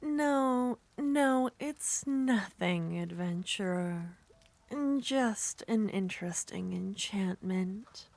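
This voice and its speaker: A woman voicing a seductive, possessive witch, speaking softly in half whispers with a teasing manner in an ASMR roleplay. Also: mid-range voice, drawn-out words, vocal fry.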